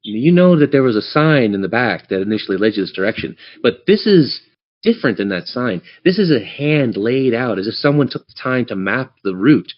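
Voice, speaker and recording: A man's voice: mid-range voice, lively intonation, fairly quick. A man narrating a tabletop game as game master in a casual, improvised way. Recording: dull lo-fi band-limited audio.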